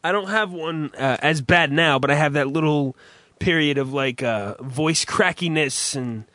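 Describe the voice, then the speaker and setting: A man hosting a crude comedy podcast, raspy from a recent cough, cursing and rambling through stories. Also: a little high, measured pace, bright, forceful tone, dry recording. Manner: profane, rambling storyteller. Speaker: podcast host.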